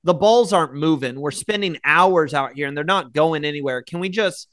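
Bright-voiced chatty man. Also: on the high side, moderate pace, dry recording, clean studio sound. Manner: chatty, opinionated. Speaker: podcast co-host. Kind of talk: casual chat.

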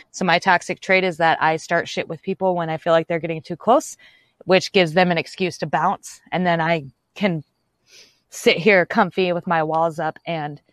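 A woman's voice: on the low side, dry recording. Chatty young woman, dry close sound. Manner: chatty, loose and rambling. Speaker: livestream host. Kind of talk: casual ritual livestream chat.

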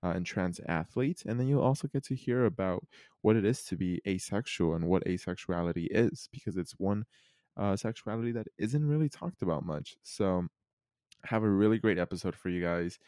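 A man's voice: low voice, expressive, normal speed, mellow, no room sound, studio quality. A teenage boy hosting a podcast interview, friendly and loose, with many fillers. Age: teens. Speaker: podcast host.

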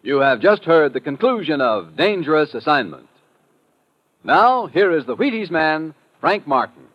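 A man's voice: on the high side, big pitch swings, measured pace, a little hiss or hum. An American man announcing a programme in formal, scripted 1950s radio style. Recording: dull old radio recording, loud music.

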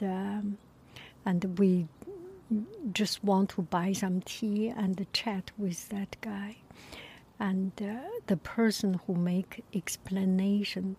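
A woman softly whispering a personal story, halting often with pauses and fillers. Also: medium pitch, slightly rough.

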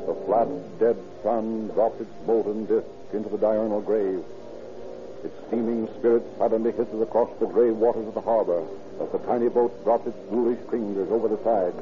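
An actor narrating a radio crime drama in a florid, ominous, theatrical style. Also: male voice, fairly low pitch, steady tone, normal speed, close to an everyday voice. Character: grim poetic male narrator of a crime tale. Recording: muffled old radio recording, music behind.

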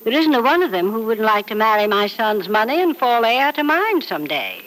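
A woman's voice: a little high, very animated, brisk, fairly bright tone, poor audio. An actress playing a frank, doting, wealthy elderly mother in an old radio soap, speaking with emphatic, theatrical affection. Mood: brisk, defiant. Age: older. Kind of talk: 1940s radio soap opera acting. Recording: old radio drama recording.

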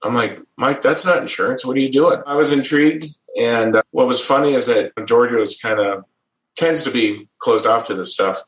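A man's voice: mid-range voice, moderate pace, fairly bright tone. Easygoing man in an echoey room. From American Midwest. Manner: easygoing, chuckles often, restarts phrases. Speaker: guest. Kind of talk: casual interview storytelling.

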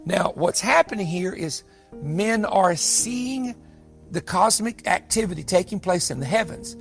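A man's voice: high-pitched, animated, a little slow, creaky. A man in his sixties teaching the Bible in a sermon style, emphatic and given to repeating phrases for weight. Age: 60s. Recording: music bed under the voice. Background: music.

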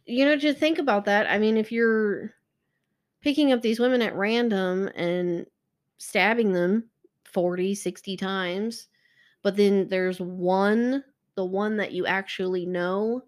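A woman co-hosting a casual true-crime podcast, chatty and quick to laugh. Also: mid-range voice. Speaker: podcast co-host.

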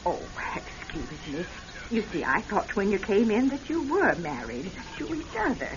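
A woman's voice: medium pitch, wildly swooping pitch, normal speed. An actress playing a kindly, fluttery elderly tearoom lady who tells fortunes, with a hesitant, exclaiming manner in classic radio drama style. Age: older. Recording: dull old radio, noisy crowd behind.

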